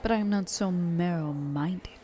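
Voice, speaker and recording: Low, mellow flirtatious vampire woman acted by a woman. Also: moderate pace, a little hiss or hum, natural voice. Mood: persuasive. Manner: coaxing, intimate, dropping often into breathy whispers. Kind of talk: ASMR roleplay monologue to the listener.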